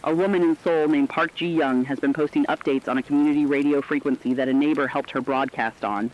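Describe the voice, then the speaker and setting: A man's voice: a little high, steady tone. Solemn man on a dull, muffled broadcast. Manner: solemn, deliberate, earnest. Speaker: radio broadcast host. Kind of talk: scripted reflective monologue narration. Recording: dull, band-limited radio-like sound.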